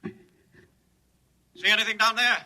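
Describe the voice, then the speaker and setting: An American actor playing an uneasy, haunted scientist in a 1950s radio drama, anxious and full of wonder. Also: male voice, high-pitched, level tone, takes it slowly, pushed and bright, exaggerated voice. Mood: anxious, alarmed. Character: uneasy, haunted scientist doctor on a dangerous mission. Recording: vintage radio recording, long reverb, noisy.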